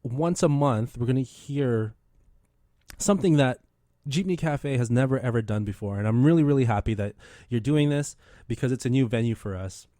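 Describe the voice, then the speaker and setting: A man's voice: mid-range voice, normal speed, dark tone, no echo, clean studio sound. A man hosting a casual radio interview in an enthusiastic, encouraging way. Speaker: radio show host.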